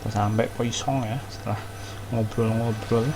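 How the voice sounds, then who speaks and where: A man's voice: lowish voice, little pitch movement, brisk, noisy background. A man with an Indonesian accent giving casual gameplay commentary as he streams, now and then idly sing-songing syllables. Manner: idle sing-song syllables while playing. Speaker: game streamer. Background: game music.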